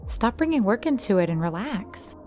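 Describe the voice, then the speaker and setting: A woman's voice: mid-range voice, very animated, normal speed, dark tone, lightly acted. An actress plays a sociable woman in an audio drama. She is friendly on the surface and evasive underneath, secretly an alien in human disguise. Faint music runs behind her. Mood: guarded.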